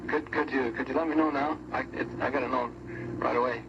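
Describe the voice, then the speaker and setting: A man speaking haltingly and informally on a phone call during an old radio show. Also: medium pitch, fairly even intonation. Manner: hesitant, halting. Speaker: contestant. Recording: very noisy, muffled vintage radio recording.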